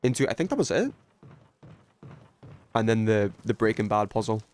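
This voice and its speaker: A man's voice: fairly low pitch, sing-song, normal speed, darkish tone. Man chatting casually on a game stream. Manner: rambling, thinking aloud, casual swearing. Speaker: livestream host playing a video game. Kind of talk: casual gaming stream chat, reading viewer messages.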